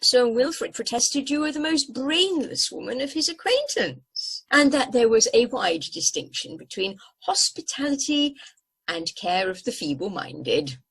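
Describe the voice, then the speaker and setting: A haughty talking cat, acted by a woman in a radio play. The voice is high and husky, swinging widely in pitch as it delivers formal, cutting indiscretions. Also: big pitch swings, unhurried, full character voice. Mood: coolly disdainful. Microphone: video call. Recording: home recording over video call.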